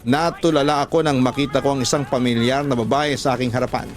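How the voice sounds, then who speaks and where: A man with a Filipino accent narrating a scripted story as a radio drama host, in an emotive, deliberate storytelling delivery. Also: mid-range voice, fairly even intonation. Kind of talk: scripted dramatic narration of letter-sender stories. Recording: voice over background music. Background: music.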